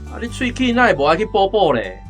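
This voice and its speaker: A cocky, animated young conscript. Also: male voice, sits high, fast talker, music in the background. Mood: casual. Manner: blunt, cocky. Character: hot-tempered, cheeky young male army conscript on errands. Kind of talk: Taiwanese-language radio drama acting.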